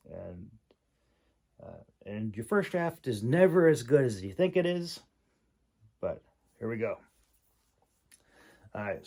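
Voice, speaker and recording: A man giving a casual, unscripted critique as a host, halting and thinking aloud with long pauses. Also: lowish voice, gravelly, darkish tone. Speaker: host critiquing a writer's manuscript.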